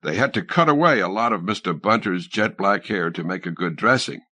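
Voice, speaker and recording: A man's voice: on the low side, expressive, brisk, pushed and bright, dry recording. A man reading literary fiction aloud as a scripted audiobook narrator, recorded close to the microphone. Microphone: close studio microphone, dry sound.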